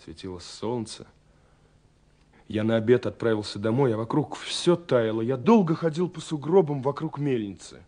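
A man's voice: mid-range voice, normal speed, close to an everyday voice. An actor playing a romantic, dreamy young man who speaks his love letters in a lyrical, intimate radio play monologue. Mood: wistful, intimate. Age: young adult. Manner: lyrical, intimate, swinging between hushed and exclaiming. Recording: slightly husky-sounding 1979 radio recording. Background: soft music.

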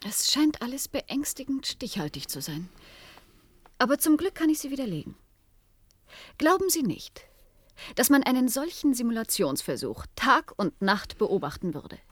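An actress playing a skeptical, anxious, sharp-tongued scientist in a science fiction radio play, delivered dramatically and emphatically. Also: female voice, on the high side, big pitch swings, normal speed, no echo. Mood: skeptical, challenging.

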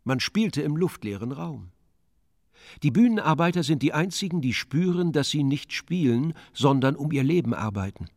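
An actor in a radio drama delivering a scripted monologue as a measured, sardonic commentator. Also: male voice, fairly high pitch, lively intonation, a little mellow, no room sound, studio quality. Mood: dry, sardonic. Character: dry, sardonic commentator on the theatre.

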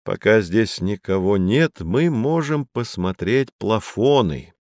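Animated, earnest tour guide. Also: male voice, lowish voice, no echo, clean studio sound. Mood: explaining, patient. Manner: didactic, deliberate, dramatic emphasis. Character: male tour guide teaching children, patient and earnest. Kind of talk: scripted educational audio drama narration.

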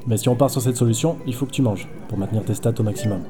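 A man's voice: mid-range voice, rapid-fire, over music, natural voice. A man playing a disillusioned but principled insurance adviser and gamer, world-weary and plain-spoken, in an audio drama. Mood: friendly, explaining. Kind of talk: scripted audio-drama acting and narration.

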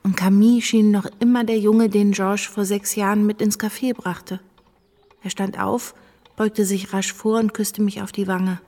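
An actress playing a reflective woman recalling revolutionary times in a radio play, in measured, sober first-person narration. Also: medium pitch, flat delivery, mellow, close to an everyday voice. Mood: pensive.

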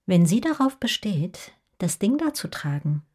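A woman's voice: mid-range voice, very animated, measured pace, darkish tone, breathy edge. A middle-aged woman acting a proud, nagging mother in a German radio drama, with an insistent, reproachful delivery.

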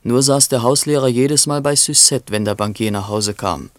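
A man's voice: mid-range voice, normal speed, no echo, lightly acted. A man playing a dry, knowing chronicler in a literary radio play, narrating tersely with faint irony. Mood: sober, ironic. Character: dry, knowing male chronicler of a poet's life.

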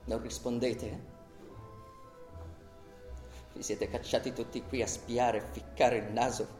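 A man's voice: mid-range voice, flat delivery, takes it slowly, darkish tone, lightly acted. An actor playing an indignant accused man in a radio drama, declaiming rhetorically and sarcastically to a tribunal. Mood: accusing, agitated. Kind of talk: theatrical radio drama monologue. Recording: roomy echo, music behind.

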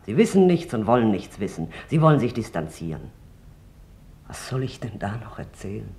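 A performer playing a bitter woman from Amsterdam who gives testimony in a radio drama, recalling it haltingly and intensely. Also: medium pitch, big pitch swings, warm tone. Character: bitter adult woman from Amsterdam, camp witness.

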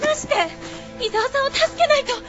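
A woman's voice: very high voice, a little fast. An actress playing a tender, emotional, devoted young woman in a radio drama, speaking politely and pleadingly. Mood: anguished, pleading. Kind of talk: Japanese radio drama acting. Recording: dull audio under loud music.

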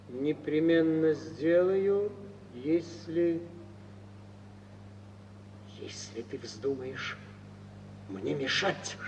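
A noisy, distant old radio-play recording carries a bullying, calculating husband. His voice sits high for a man, and his delivery is theatrical, cold and sneering. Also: darkish tone, big-room sound. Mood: cold, menacing. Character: cold, scheming, bullying husband in a stage comedy. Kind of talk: 1950s Russian radio theatre acting.

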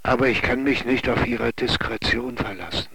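A man playing a secretive Russian intelligence boss in a radio drama, measured, evasive and commanding. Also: mid-range voice, steady tone, close to an everyday voice. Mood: controlled, commanding. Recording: noisy vehicle-like ambience.

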